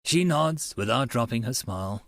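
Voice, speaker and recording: A man's voice: medium pitch, lively intonation, normal speed, no echo, clean studio sound. A man narrating a visual novel aloud as a channel host, slipping into casual asides.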